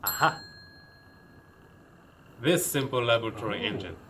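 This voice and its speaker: A man's voice: medium pitch, expressive, unhurried, a bit bright, slight background noise. Earnest young Cameroonian man presenting. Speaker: student presenter. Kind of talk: scripted science presentation.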